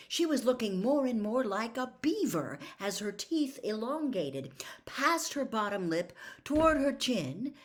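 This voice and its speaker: A woman narrating a story as a dramatic audiobook reader, expressive and deliberate. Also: medium pitch.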